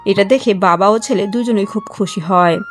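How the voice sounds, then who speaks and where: Woman, story narrator, medium pitch, scripted cartoon moral tale, faint music bed. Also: moderate pace.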